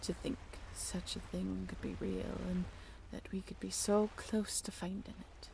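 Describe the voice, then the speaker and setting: Brief: actress as young period sailor woman, dreamy and ambitious, slow hushed delivery with some creak, faint noise behind. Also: medium pitch, little pitch movement, low-quality recording. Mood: hushed, wistful. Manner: soft-spoken, archaic sailor phrasing, wistful. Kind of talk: period seafaring roleplay audio drama.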